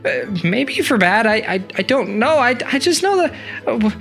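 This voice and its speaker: A storyteller voicing an anxious little female talking fruit who desperately wants to be liked, in a very high squeaky voice. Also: sing-song, normal speed, gravelly, fairly bright tone, faint music behind. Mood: confused.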